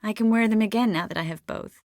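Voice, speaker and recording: Game sorceress, woman actor: poised, warm-hearted, composed, wry teasing edge; mid-pitched, very dry studio sound. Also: quick pace, no room sound, close to an everyday voice. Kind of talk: scripted game character dialogue.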